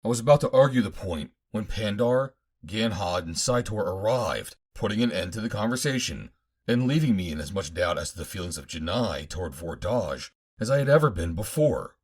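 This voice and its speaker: A man's voice: on the low side, animated, moderate pace, husky. A man narrating a pulp adventure audiobook in the first person, in dramatic fashion.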